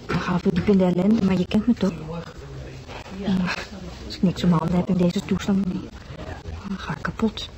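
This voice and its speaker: An actress playing an earnest, frustrated wife at a hospital bedside, pleading intensely in a naturalistic radio drama. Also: female voice, on the low side, steady tone, moderate pace, long pauses, a crowd in the background, natural voice, low-quality recording.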